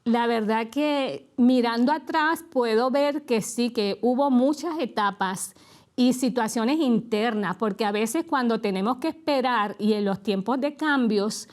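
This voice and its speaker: Puerto Rican woman co-hosting a faith show, somewhat high-pitched, easygoing personal storytelling. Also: measured pace. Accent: Puerto Rican Spanish. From Puerto Rico. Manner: relaxed storytelling with light laughs. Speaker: co-host and guest. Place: TV studio.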